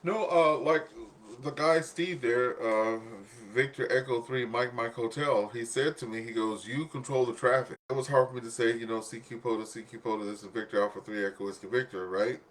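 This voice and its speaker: A man's voice: mid-range voice, a little fast, hardly any pauses, fairly bright tone. Male radio net host, casual and conversational, acts out little exchanges as he tells stories.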